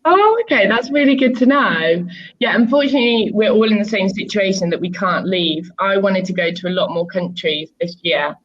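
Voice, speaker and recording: A woman's voice: medium pitch, animated, moderate pace. A woman who teaches English online, chatting with a student over a video call in an easygoing way, with many ums. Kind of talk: casual conversation lesson. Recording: muffled video-call audio.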